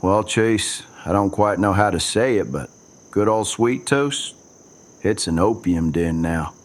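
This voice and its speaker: A cautious townsman from a western radio play. His voice sits very low, and he talks in a folksy, hesitant manner, as if minding who might overhear. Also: lively intonation, a little slow. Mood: reluctant, regretful. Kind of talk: old-time western radio drama acting.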